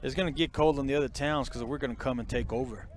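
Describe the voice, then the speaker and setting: A man calling a high school football game outdoors, folksy and joking with the crowd and his partner. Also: huge pitch swings, moderate pace, background noise. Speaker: play-by-play announcer.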